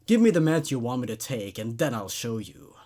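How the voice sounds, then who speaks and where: A man's voice: mid-range voice, sing-song, fairly quick, warm tone. A man voicing a tormented, unhinged male patient in a horror story, intense, strained and accusatory. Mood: menacing, mocking.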